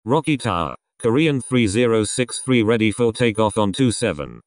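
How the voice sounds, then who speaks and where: A man's voice: lowish voice, animated, normal speed, no room sound, clean studio sound, close to an everyday voice. A man voicing a businesslike airline pilot in a flight game, giving scripted radio calls.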